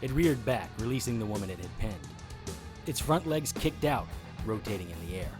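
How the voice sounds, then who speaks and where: A tough, wisecracking monster hunter voiced by a man narrates an audio drama in a low register. The delivery is dry, wry and noir, with punchy phrasing. Music plays clearly behind the voice. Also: expressive, moderate pace, close to an everyday voice. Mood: tense narration. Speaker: lead actor narrating in first person. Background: music bed under the voice.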